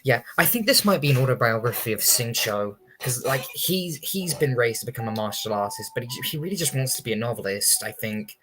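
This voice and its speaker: A woman's voice: rumbling low, lively intonation, a little fast, few pauses, background noise. A woman livestreaming a game, giving casual commentary and reading text aloud. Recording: game music behind the voice. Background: game music.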